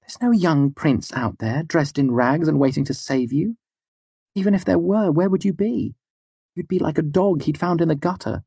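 Man voicing a husky, worldly, tough-but-caring woman. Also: fairly low pitch, fairly even intonation, normal speed, no echo, pitched above the performer's own voice. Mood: incredulous, venomous. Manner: matter-of-fact, arch, scolding yet gentle. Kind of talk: audiobook character dialogue.

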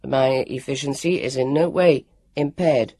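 A woman's voice: a bass voice, unhurried, warm tone, slightly rough, no echo, full character voice. A woman voicing a stoic, injured male android in an audiobook, formal and precise, with a strained voice. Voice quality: forced, strained, scratchy. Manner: stiff, precise, formal wording. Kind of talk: audiobook character voice.

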